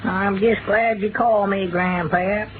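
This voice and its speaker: A man's voice: high-pitched, steady tone, a little slow, faint music behind. An actor playing a good-hearted, grumbling country man with a rural Southern American accent and hesitant, repetitive talk in 1940s radio drama style. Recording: tinny, hissy old radio broadcast recording.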